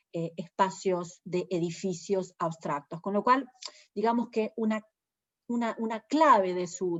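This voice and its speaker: A woman with an Argentine accent, a poet giving a thoughtful literary talk, pausing often with fillers as she searches for words. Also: mid-range voice, a bit bright, highs cut off. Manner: thoughtful, hesitant, many fillers. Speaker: poet and presenter.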